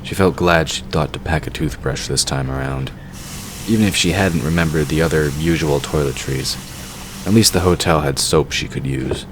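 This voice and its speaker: A man's voice: very deep voice, normal speed, noisy background. A man narrating a fantasy audio drama from a script.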